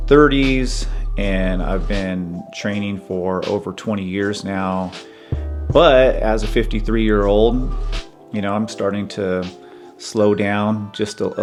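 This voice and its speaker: A man in his fifties hosting a podcast, talking earnestly and in a measured, conversational way about his own life. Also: fairly low pitch. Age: 50s. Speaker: podcast host. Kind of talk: personal reflective monologue.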